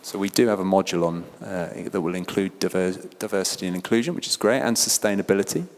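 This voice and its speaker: Man, low voice, chatty event presenter, loose delivery, long hall reverb. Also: quick pace, a little hiss or hum. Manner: loose and chatty, with many fillers and restarts. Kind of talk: conference presentation.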